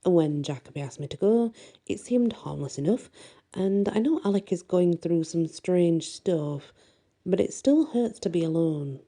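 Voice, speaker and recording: A woman's voice: lowish voice, expressive, unhurried, mellow. A woman voicing a wry, candid teenage girl heroine in a bedtime story, chatty and slightly sheepish. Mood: sheepish, confiding.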